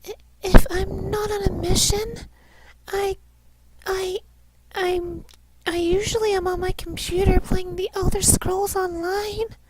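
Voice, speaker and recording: A woman's voice: very high voice, level tone, takes it slowly, background noise. A woman voicing a shy, timid, bookish young anime girl who speaks hesitantly. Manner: hesitant, soft-spoken stammer.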